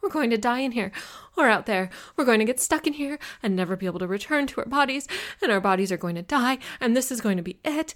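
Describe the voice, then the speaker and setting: A woman's voice: on the high side, expressive, fast talker, voice pushed up, lightly acted. A woman voicing a panicked, remorseful young woman in an audiobook, urgent and gasping. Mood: spiraling panic.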